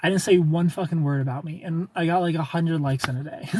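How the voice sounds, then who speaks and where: Higher-voiced American man chatting on a noisy livestream. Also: a little fast, dark tone. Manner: joking, playful, informal with swearing. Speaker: live stream host. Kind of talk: casual chat, reading and replying to live comments.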